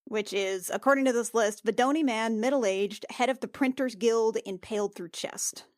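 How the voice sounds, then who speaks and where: A woman's voice: on the high side, moderate pace, a little mellow, dry recording, clean studio sound. A woman playing a tabletop roleplaying game, switching casually between speaking as her character and describing what the character does.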